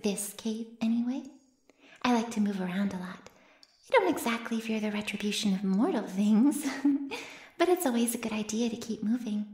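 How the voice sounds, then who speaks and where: A woman's voice: a little high, lively intonation, moderate pace, darkish tone, natural voice. A woman playing an ancient, smugly teasing female vampire in an intimate ASMR roleplay, murmuring coyly and often slipping into a whisper. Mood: wry, casual. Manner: coy, breathy murmurs that often drop to a whisper. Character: ancient predatory female vampire, playfully menacing, smugly teasing her captive. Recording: roomy cave-like echo.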